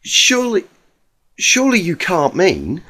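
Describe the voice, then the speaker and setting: Genteel mare, voiced by a man, high-pitched and swooping, faltering, shocked, dramatic storybook. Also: huge pitch swings, measured pace, pushed and bright, heavily acted. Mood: shocked, disbelieving. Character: genteel, shocked female unicorn pony.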